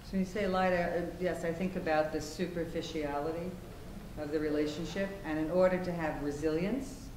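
A middle-aged woman speaking on a theater stage in a measured, explanatory way. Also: deep voice, steady tone. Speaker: panelist, conflict resolution practitioner. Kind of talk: onstage discussion. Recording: distant, echoey stage with noise.

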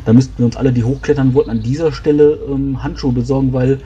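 A man's voice: medium pitch, steady tone, fast talker, dark tone, dull audio. A man improvising in-character planning as a player in a tabletop role-playing game, explaining things in a rambling way.

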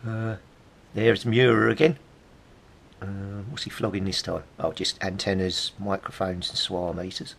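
A man's voice: sits low, fairly even intonation, unhurried, creaky, a bit bright, slight background noise. A middle-aged British man giving casual, rambling, nostalgic commentary, chatty and musing aloud as he goes. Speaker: hobbyist presenter.

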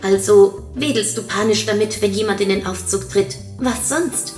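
Cheeky, stoned newlywed woman played by a female reader, mid-pitched, grumbly, for audio fiction with faint music. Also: moderate pace, darkish tone, close to an everyday voice. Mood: grumbling. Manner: teasing, grumbling, exclaiming. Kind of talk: audio short-story dialogue. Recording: roomy echo, faint music.

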